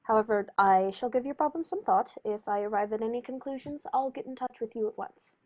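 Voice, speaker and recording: A woman playing a composed, courteous male detective with formal, measured phrasing in a radio play. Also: fairly high pitch, lightly acted. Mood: polite, attentive. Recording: dull lo-fi recording.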